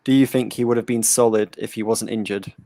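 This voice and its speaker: A man hosting a live watch-along, chatting casually, reading out viewer comments and giving shout-outs. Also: on the low side, fairly even intonation, brisk, no echo. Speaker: host. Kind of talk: casual chat.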